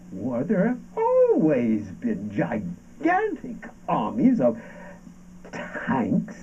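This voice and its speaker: A man acting a brooding, sardonic ranter in an avant-garde stage monologue, halting and deliberate, with dramatic shifts of emphasis. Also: a little high, slightly rough, heavily acted. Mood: mocking, insistent. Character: brooding, sardonic ranter delivering absurdist anti-war poetic monologue. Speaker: stage actor. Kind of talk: theatrical monologue from an avant-garde radio play adapted for stage. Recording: dull, noisy lo-fi stage recording.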